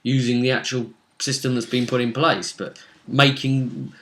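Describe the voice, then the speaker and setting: Male, game master in relaxed, conversational recap of a tabletop session. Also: medium pitch, normal speed, slight background noise. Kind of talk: casual chat recapping a tabletop role-playing session.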